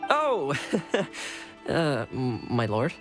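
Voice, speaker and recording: An actor playing a loyal young retainer in a video game, deferential to a lord but wryly teasing. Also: male voice, mid-range voice, huge pitch swings, extremely slow, over music, exaggerated voice. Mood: flustered. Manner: deferential, wry.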